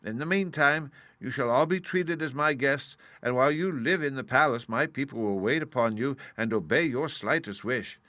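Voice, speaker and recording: A man voicing an old humbug wizard who gently confesses he is no real magician, speaking with a confiding, sheepish manner. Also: mid-range voice, moderate pace, few pauses, no room sound, lightly acted. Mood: confessing. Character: humble old male humbug wizard, apologetic.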